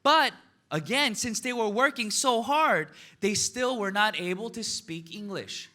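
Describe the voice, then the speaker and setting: A man's voice: sits high, measured pace, pushed and bright. A man, giving a motivational seminar talk, asking the audience questions and tagging his points with "right?" and "okay?". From United States. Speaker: motivational speaker.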